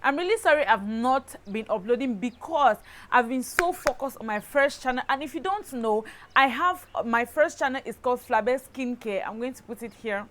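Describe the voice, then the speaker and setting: A woman with a Cameroonian accent telling a personal story in a casual vlog style, animated and emphatic, often checking in with the listener. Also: on the high side, normal speed. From Cameroon, southwest region. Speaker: lifestyle YouTuber, host.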